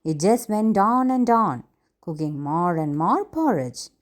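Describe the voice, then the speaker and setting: A woman reading a children's fairy tale aloud in a deliberate way for young listeners. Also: fairly low pitch, big pitch swings. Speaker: storyteller.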